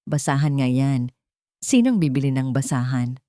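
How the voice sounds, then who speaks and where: A woman narrator plays an old Filipina landlady in an audio story, irritable and tired of the world. She talks in curt scolds, with a mellow edge and a dry, close sound. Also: a bass voice, lively intonation, normal speed, no echo, voice pushed down. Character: irritable, world-weary old woman landlady, gruff but soft-hearted.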